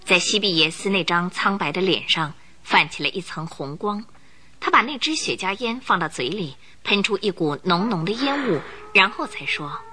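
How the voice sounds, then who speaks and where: A woman's voice: medium pitch, lively intonation, normal speed, bright, forceful tone, a little hiss or hum. A woman narrating a radio drama in a vivid, dramatic storytelling manner.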